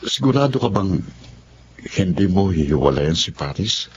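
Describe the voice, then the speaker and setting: A man acting a calm, supportive friend in a Filipino audio drama, measured and reassuring. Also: low voice, a little hiss or hum. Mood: concerned, steady. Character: calm, supportive male friend giving sober advice. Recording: dull lo-fi sound, music behind.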